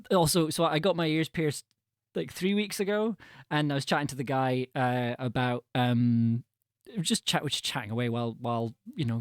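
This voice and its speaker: A man's voice: mid-range voice, no echo, clean studio sound. A man who grew up in Scotland, chatting on a live stream in a self-deprecating way, often repeating his words. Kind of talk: casual chat on a live stream.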